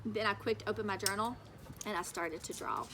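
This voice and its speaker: A woman's voice: mid-range voice, steady tone, brisk, noisy background. A woman reading a children's story aloud as a teacher, narrating expressively with emphatic, childlike phrasing.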